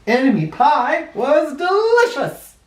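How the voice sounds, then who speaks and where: A man's voice: very high voice, huge pitch swings, slow pace. A man reading a children's story aloud expressively, with dramatic emphasis, as if speaking straight to young listeners. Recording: roomy, echoing, somewhat distant microphone.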